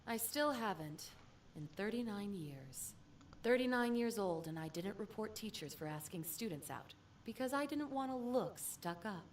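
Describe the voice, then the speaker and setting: A woman acts a worldly, jaded school staffer of thirty-nine in a visual novel game. Her delivery is wry and knowing, with lively swings in pitch. There is some noise behind the voice. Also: normal speed, lightly acted. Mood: wry, resigned.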